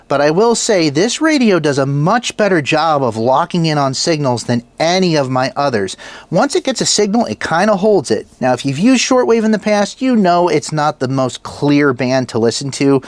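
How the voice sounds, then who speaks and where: A man's voice: on the high side, very animated, normal speed, no echo. A man giving a casual gear review in a plain-spoken, folksy way, as the host talking to viewers. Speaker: product reviewer and channel host.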